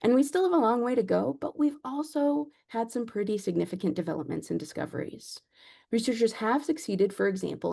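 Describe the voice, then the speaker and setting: A woman lecturing as a clinical psychologist, speaking in a measured, explanatory way with a naturally soft voice. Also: medium pitch, creaky. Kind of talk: academic lecture.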